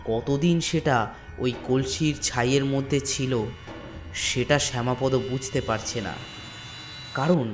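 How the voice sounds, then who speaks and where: A man narrating a scripted folk ghost story in measured, short phrases. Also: mid-range voice, soft background music.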